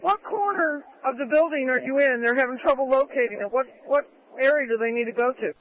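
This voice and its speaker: Very high-pitched man on fire radio. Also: a little fast. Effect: two-way radio. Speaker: fire crew member. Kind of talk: radio traffic at a fire scene. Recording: dull lo-fi scanner radio audio.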